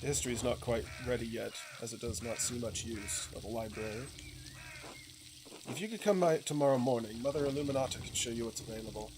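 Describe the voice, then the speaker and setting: A man's voice: medium pitch, fast, close to an everyday voice. Stern, resentful monk read by a man. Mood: formal, restrained. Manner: pointed, formal. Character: resentful, stern male abbey monk, formally polite. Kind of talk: reading video game dialogue. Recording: clear sizzling ambient noise behind voice.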